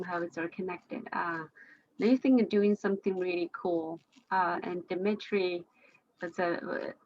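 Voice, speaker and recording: A woman's voice: medium pitch, lo-fi sound. A woman from China, speaking English as a second language, moderating a casual video-call discussion with frequent restarts, fillers and laughs. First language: Chinese. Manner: hesitant, many restarts and fillers, laughs often. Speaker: panel moderator.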